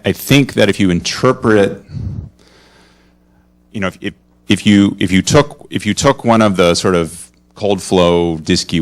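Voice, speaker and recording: A man speaking as a scientist in a conference discussion, informal and rambling, qualifying and repeating his phrases as he goes. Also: deep voice. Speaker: scientist commenting from audience.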